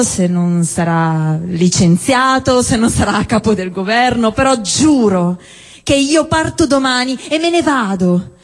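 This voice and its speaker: A woman performing a theatrical spoken-word monologue on stage, declaiming with deliberate phrasing and dramatic pauses. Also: medium pitch. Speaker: stage performer at a live show. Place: indoor cinema-theatre venue with long reverb. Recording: reverberant hall, live stage performance.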